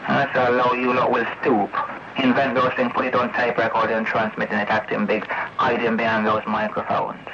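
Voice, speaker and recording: A man holds forth on CB radio through loud noise and a dull, muffled tape sound. His voice sits at a medium pitch. He is accusatory and repetitive, swearing and pressing his listeners with challenging little questions. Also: completely flat delivery, normal speed, creaky. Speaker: CB radio operator. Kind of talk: rambling confrontational monologue over CB radio.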